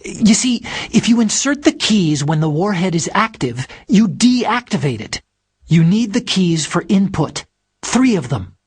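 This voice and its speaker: A man's voice: sits high, sing-song, a little slow, breathy edge, no room sound, full character voice. An actor, a man, playing a brainy, eager technical expert who gives precise explanations over the radio in a video game. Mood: eager explaining.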